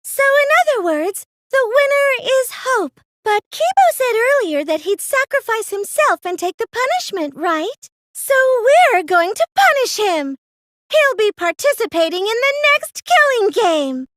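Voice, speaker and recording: A teenage anime game girl, a self-effacing cosplay geek with a very high, girlish voice. She is soft-spoken yet excitable, unhurried and hesitant, with theatrical, swooping emotion. The sound is dry and close. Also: dry recording, full character voice. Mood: calm, sinister.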